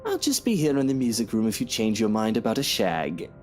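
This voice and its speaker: Woman acting a very low-pitched cad, leering, taunting, swooping delivery, smug visual novel villain. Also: huge pitch swings, a little fast, soft background music, exaggerated voice. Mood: menacing, smug. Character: smug, sleazy, lecherous married man, threatening.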